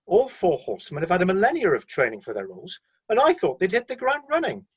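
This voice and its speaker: A husky, defensive celestial middle manager played by an actor in a satirical radio play. The voice moves in lively swings as it breezily justifies the staff in corporate terms, mildly flustered, on a slightly dull recording. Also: medium pitch, fast, full character voice. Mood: surprised.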